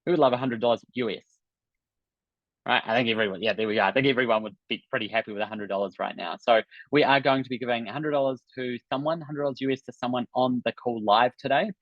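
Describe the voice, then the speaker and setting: A man's voice: mid-range voice, quick pace, no room sound, lo-fi sound. Upbeat New Zealand man hosting a webinar. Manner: upbeat, encouraging, chatty with many fillers. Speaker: business coach hosting an online summit. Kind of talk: live webinar talk to an audience. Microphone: video call.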